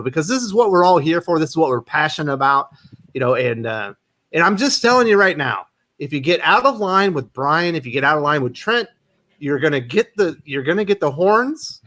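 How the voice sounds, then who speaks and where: A man's voice: on the high side, sharp tone. A man hosting a casual livestream chat. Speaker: livestream host.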